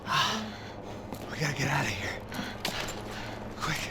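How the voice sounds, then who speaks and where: An actor playing a weary, middle-aged American action hero in a video game, gruff, terse and commanding, with plenty of swearing. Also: male voice, on the high side, big pitch swings, unhurried, very noisy. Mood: hushed urgency. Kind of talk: video game cutscene acting. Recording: loud music over dialogue.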